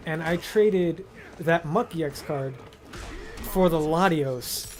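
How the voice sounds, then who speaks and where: A man's voice: fairly high pitch, takes it slowly, a little mellow, faint music behind. A young American man telling stories casually to a friend, rambling and slangy, often repeating himself. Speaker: video host. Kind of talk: casual storytelling chat with a friend.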